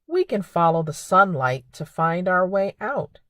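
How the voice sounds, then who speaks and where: Lady doing kids storytime, slowish and really animated, slightly low voice, talks simply for little ones. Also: no room sound, studio quality. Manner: simple, expressive phrasing aimed at young children, with questions. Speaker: children's librarian and storytime host. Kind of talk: preschool storytime reading and narration.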